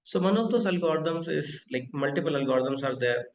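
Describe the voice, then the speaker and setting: A man's voice: a little high, lively intonation, fairly quick, roomy sound, slight background noise. A man in his thirties hosting a live online technical lecture, talking interactively with many fillers and often asking the audience questions. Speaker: webinar host and company founder.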